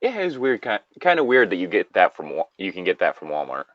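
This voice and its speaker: A man with a low voice reads a story aloud in a loose, casual way. His pitch moves around a lot, and he drops in joking, sweary asides. The recording is dry and a little dull. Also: wildly swooping pitch, quick pace, dry recording. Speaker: host reading a story aloud with commentary. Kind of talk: casual reading and banter.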